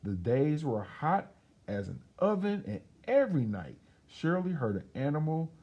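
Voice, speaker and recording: Guy reading a kids picture book out loud, mellow slightly husky voice, expressive and chatty. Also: fairly high pitch, moderate pace, lo-fi sound. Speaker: storyteller reading a children's book aloud. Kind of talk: animated read-aloud with casual asides.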